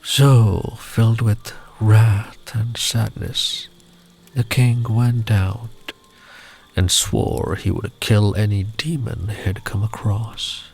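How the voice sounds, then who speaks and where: Slow, soft-spoken man telling a bedtime story. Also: lowish voice, steady tone. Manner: soft-spoken, often breathy near-whisper; soothing, unhurried, tender. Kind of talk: gentle ASMR storytelling.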